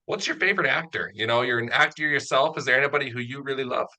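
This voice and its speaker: A man's voice: medium pitch, fast, bright, forceful tone. A man interviewing a guest in a casual, informal chat, as host and creator of an audio drama.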